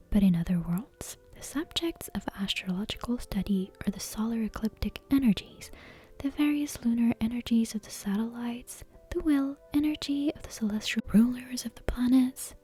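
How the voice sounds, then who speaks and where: A woman whispering a bedtime story in ASMR style, softly and expressively, taking her time over the phrases. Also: medium pitch, husky. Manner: soft, unhurried, expressive whispering.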